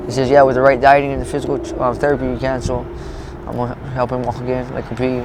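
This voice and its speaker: Fast-talking rambling man in his thirties. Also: medium pitch, completely flat delivery, background noise. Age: 30s. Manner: rambling, swears a lot, restarts often. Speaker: interviewee. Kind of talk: street interview, rambling personal story. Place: outdoors in a parking lot.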